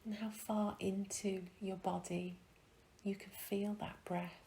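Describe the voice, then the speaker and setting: A woman guiding a meditation in a soft, breathy, soothing way, leaving long pauses between phrases. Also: mid-range voice, level tone, a little hiss or hum. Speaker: meditation guide. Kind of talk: guided meditation.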